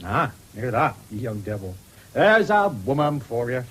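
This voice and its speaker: An actor playing a rough, blustering farmer father in a radio drama, taunting in a rural English accent. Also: medium pitch, very animated, unhurried. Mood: taunting, scornful. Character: coarse, bullying, boisterous farmer father. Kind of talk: BBC radio drama acting. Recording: faintly noisy old radio broadcast.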